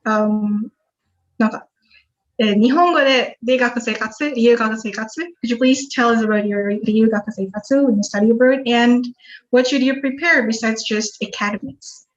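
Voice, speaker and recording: A woman moderates a webinar, chatty and friendly. Her voice sits a little high. The video call audio sounds dull and lo-fi. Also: normal speed. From Indonesia. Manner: friendly and chatty, reads out audience questions.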